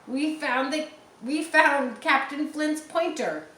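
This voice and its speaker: A woman's voice: sits high, slow pace, fairly bright tone, pitched above the performer's own voice. Woman acting shrewd pirate captain, bold, emphatic, storybook reading, echoey room. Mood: excited. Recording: echoey room with faint background noise.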